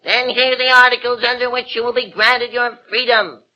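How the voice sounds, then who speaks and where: A man's voice: extremely high pitch, level tone, moderate pace, muffled recording. A man playing a pompous, fussy little king in an old radio drama. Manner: pompous, fussy, easily flustered. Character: pompous tiny male king of Lilliput. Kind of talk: 1940s radio acting. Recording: old radio broadcast with music.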